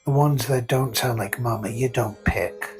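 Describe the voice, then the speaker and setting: A man narrating a scripted fantasy story in the second person, with a gentle, whimsical storytelling manner. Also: medium pitch, normal speed. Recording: faint music under narration.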